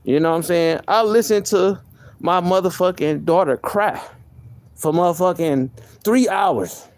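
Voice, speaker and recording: A man with a soft voice, venting on a live stream in a heated, profane way, often repeating himself. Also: sits high, measured pace, no echo. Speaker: livestreamer.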